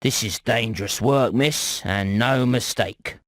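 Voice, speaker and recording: A man playing a cheeky, shifty rat salesman in an animated game, with oily, theatrical patter. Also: medium pitch, slow pace, dry recording, studio quality, close to an everyday voice. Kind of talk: animated video game voice acting.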